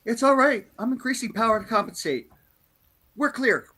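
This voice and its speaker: A woman acting a brisk, dutiful starship bridge officer in an amateur sci-fi script read. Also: fairly high pitch, expressive, pushed and bright, husky, exaggerated voice. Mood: focused.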